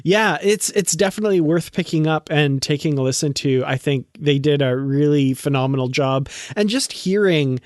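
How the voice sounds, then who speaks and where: A man's voice: on the high side, normal speed, hardly any pauses, no room sound, clean studio sound. A man speaking as the co-host of a podcast. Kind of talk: casual podcast chat.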